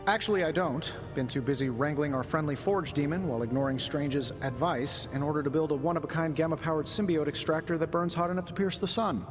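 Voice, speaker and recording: An actor playing a wisecracking middle-aged inventor superhero in a video game, with a glib, chatty manner. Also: male voice, fast talker, hardly any pauses, music in the background, lightly acted. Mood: glib, explaining. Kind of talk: cinematic video game acting. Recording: long reverb, clear rumbling noise.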